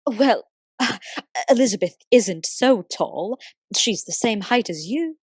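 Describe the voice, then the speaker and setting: Wry, husky woman onlooker. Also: on the high side, lively intonation, takes it slowly, heavily acted. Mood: amused, curious. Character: wry, gossipy female onlooker at a trial. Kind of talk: period radio play acting, conversational asides.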